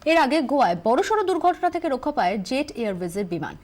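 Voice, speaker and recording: A woman anchor reads news headlines in a crisp, dramatic way. Her voice is high and very lively. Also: fast, soft background music. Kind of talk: studio news reading.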